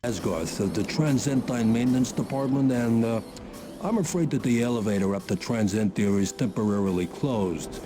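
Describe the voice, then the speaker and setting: An actor playing a hapless new maintenance engineer in a video game, hesitant, apologetic and self-deprecating. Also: male voice, medium pitch, moderate pace, noisy background, natural voice. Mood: sheepish, apologetic. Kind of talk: video game cutscene acting. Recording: game audio with music behind.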